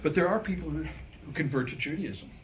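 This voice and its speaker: A man's voice: a little high, animated, quick pace. A man in his sixties performing a staged reading of jokes and comic monologue, wryly acting out the dialogue. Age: 60s. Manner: wry joke-telling, acting out quoted dialogue. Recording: live room recording with background noise.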